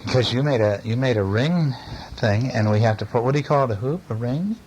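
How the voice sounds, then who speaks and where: Gentle father talking low-key, faintly noisy recording. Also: lively intonation, a little fast, highs cut off. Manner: gentle, playful, asks tag questions. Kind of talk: casual chat and bedtime story reading to a child. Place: at home, lying down beside a child. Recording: noisy amateur home recording.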